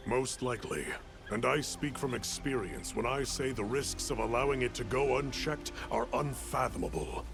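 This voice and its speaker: An actor playing a senior scholarly guild professor in a video game, speaking in a formal, measured, authoritative way. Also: male voice, medium pitch, lively intonation, vocal fry, over music. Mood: grave. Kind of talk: video game cutscene acting.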